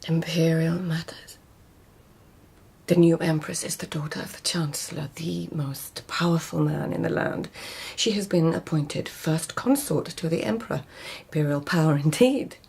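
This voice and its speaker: Radio drama lead: a guarded, passionate imperial lady-in-waiting; lowish, creaky voice, hushed and confiding, slipping into whispered asides. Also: normal speed, exaggerated voice. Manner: intimate, hushed, often breaking into whispered inner narration. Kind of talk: literary radio drama acting.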